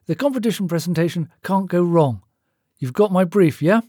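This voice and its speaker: Brash male boss, voiced by a man narrating, audiobook. Bossy, blunt, matey, higher-pitched, dry sound. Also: animated, normal speed, mellow, dry recording.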